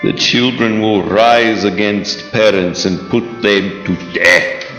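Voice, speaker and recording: A man plays an ominous vampire lord, low-voiced and forceful. He intones slowly and solemnly in a radio play, with faint music and dull live sound. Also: reverberant, in a large hall. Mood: solemn menace. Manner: slow, solemn, preacher-like menace. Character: commanding, ominous male vampire master.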